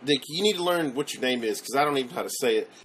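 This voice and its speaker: A man's voice: mid-range voice, animated, very fast, fairly bright tone, rough recording. A man ranting casually in a played video clip, rambling, profane and full of restarts. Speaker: YouTuber.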